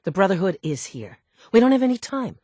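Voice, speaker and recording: A woman's voice: mid-range voice, very animated, brisk, dark tone, no echo. A woman playing a brusque, dry-witted scientist from an underground institute in a video game, businesslike and wry. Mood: tense, urgent. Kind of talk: video game character dialogue.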